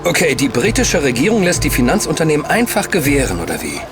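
Curious doubtful young guy, lively voice, music behind. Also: a little high, fast talker. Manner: asks questions, doubtful. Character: curious, questioning young man.

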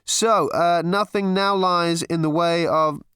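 A man's voice: on the high side, fairly even intonation, slow, a bit bright, clear, resonant tone, no room sound, voice pushed up. A man voicing a breezy male concert reporter who chats cheerfully and laughs through his report. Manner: chirpy, chatty, laughing asides.

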